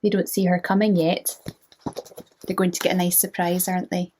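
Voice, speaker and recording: A woman narrating a children's storybook in a gentle manner, inviting young listeners to join in. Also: lowish voice, little pitch movement, moderate pace.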